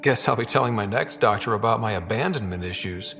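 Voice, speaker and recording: An actor playing a young, easygoing and wry explorer hero in a video game, with a husky voice. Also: male voice, on the low side, fairly quick, a bit bright, long reverb tail, soft background music.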